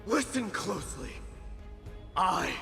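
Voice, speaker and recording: A defiant young game prince, proud and intense. The voice is fairly high, creaky and dramatically varied, declaiming slowly through hall echo with music behind. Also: male voice, big pitch swings, bright, forceful tone, exaggerated voice. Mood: defiant contempt. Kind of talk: dramatic video game acting. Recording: echoing hall under music.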